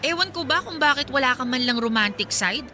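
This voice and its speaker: A woman's voice: high voice, animated, fairly quick, sharp tone, soft background music. An actress playing a friendly, teasing young woman chatting with her best friend in a Filipino radio drama. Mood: chatty, curious.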